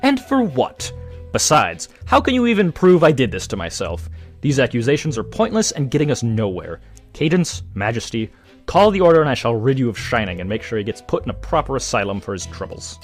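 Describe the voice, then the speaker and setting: A man's voice: mid-range voice, sing-song, a little fast, faint music behind, close to an everyday voice. A man playing an indignant, insistent stallion royal guard in a dramatic audio drama, feigning loyalty while scheming.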